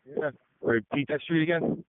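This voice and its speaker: A man's voice: a little high, moderate pace, dry recording. A man working as a fire team leader, making terse calls over a two-way radio. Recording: tinny narrowband radio transmission.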